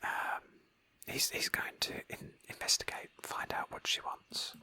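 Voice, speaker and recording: A woman whispering breathily in a hushed, thoughtful ASMR style as she narrates a roleplay game playthrough as its host. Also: measured pace.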